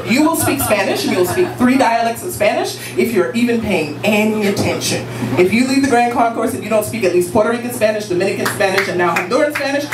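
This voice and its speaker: A woman from Guyana in her late twenties tells a personal story to a live audience, confident and playful. She sounds set back from the mic in an echoing room. A clear hum of room noise runs underneath. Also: fairly high pitch. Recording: roomy, distant, noisy live room.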